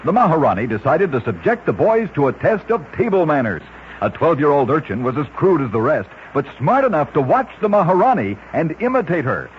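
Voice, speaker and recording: A man reading strange-fact stories in a brisk scripted radio announcer style, from an old radio show recording. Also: a little high, big pitch swings, muffled recording, slight background noise. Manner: brisk announcer delivery of odd facts.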